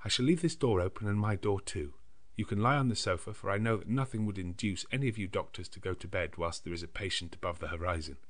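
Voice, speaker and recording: Man voicing a gentle, anxious young woman, higher-pitched. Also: fast talker. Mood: gently insistent. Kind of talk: dramatised audiobook character dialogue.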